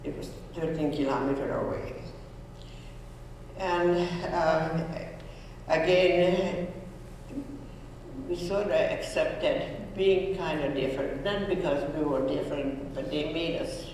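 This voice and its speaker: A woman speaks slowly and earnestly, pausing and restarting her phrases. She is heard from a distance across a big, echoing school hall. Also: low voice, fairly even intonation, darkish tone, slight background noise. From Hungary. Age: 90s. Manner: earnest, halting, with frequent restarts. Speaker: Holocaust survivor speaking to students. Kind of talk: personal testimony talk. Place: school room or auditorium. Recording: echoey hall, voice from far off.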